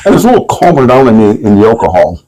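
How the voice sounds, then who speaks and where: A man's voice: medium pitch, big pitch swings, racing, mellow, slight background noise, rough recording. A man from Lithuania, a sommelier guest, explaining things in casual conversation.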